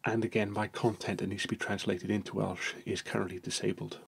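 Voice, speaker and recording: A man narrating a software screencast tutorial, explaining each step in a steady manner. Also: on the low side, brisk, gravelly, husky.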